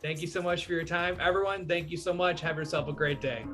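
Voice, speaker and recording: Male host, friendly, a bit high-pitched, casual questions. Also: steady tone, fast, over music. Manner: friendly, asks questions with fillers. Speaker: interview host. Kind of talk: casual interview.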